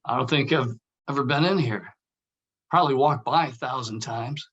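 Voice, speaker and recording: A man plays a casual buddy with something to hide. His voice is husky and sits at an average pitch. The delivery is that of a table read. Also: moderate pace, fairly bright tone, dull audio. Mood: uneasy, casual. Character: casual, evasive male buddy with a dark streak.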